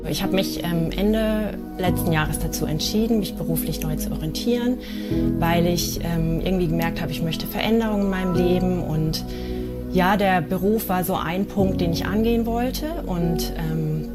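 A woman giving a thoughtful personal testimony, hesitant and full of small pauses. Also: fairly low pitch. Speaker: testimony speaker. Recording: over loud instrumental music.

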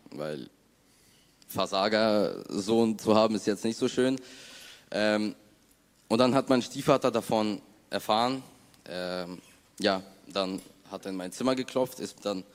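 Young man, low voice, halting personal testimony in a reverberant hall. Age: young adult. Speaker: church member giving personal testimony. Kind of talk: personal testimony, spoken unscripted.

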